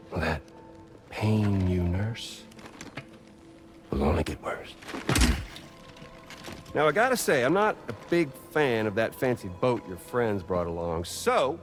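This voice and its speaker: A cruel, gleeful villain who drawls his taunts in a low voice that swoops wildly up and down. His sing-song mockery rides over a loud orchestral game score. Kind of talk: video game cutscene acting.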